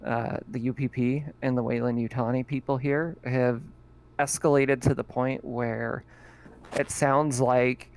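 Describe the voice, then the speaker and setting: A man narrating as game master of a tabletop role-playing game, halting, with many fillers. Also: medium pitch, gravelly.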